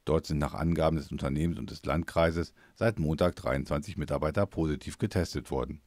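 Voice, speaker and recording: A male reporter narrates a scripted news piece. His voice is extremely low, recorded dry and without room sound. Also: fairly quick, a little mellow, no room sound.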